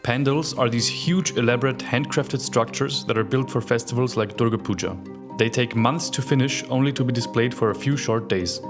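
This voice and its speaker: A man's voice: mid-range voice, fairly quick. Austrian man narrating over music. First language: German. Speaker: travel vlogger.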